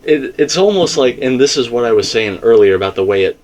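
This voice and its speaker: A man's voice: medium pitch, animated, fast, a little mellow. Emphatic man talking through theories. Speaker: video game theorist. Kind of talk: casual theory discussion.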